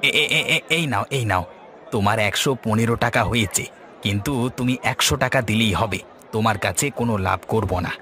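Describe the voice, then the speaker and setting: Low-voiced village fish hawker. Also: male voice, a little fast, sharp tone, a little hiss or hum. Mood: friendly, persuasive. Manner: repetitive sales patter. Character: pushy, friendly village market fish seller. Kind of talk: cartoon dialogue, street hawker calls.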